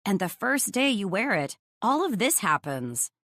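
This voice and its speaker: A woman's voice: mid-range voice, very animated, unhurried, fairly bright tone, no room sound, studio quality, exaggerated voice. A woman voicing a blunt, nosy young female best friend in an audiobook, gushing and teasing in exclamations. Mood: excited, teasing. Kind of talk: audiobook character dialogue.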